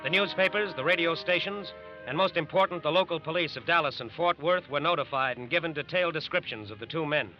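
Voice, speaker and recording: A man's voice: on the high side, quick pace, soft background music. An American man narrating a 1940s radio docudrama in a grave, emphatic, declamatory way. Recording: muffled vintage radio broadcast recording.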